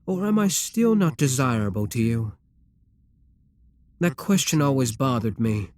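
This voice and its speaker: A man performs a proud demon king for an ASMR roleplay. His voice sits at a medium male pitch and moves through wide, lively swings of intonation. He speaks with courtly, theatrical grandeur, imperious and seductive. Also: measured pace. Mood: wistful, probing.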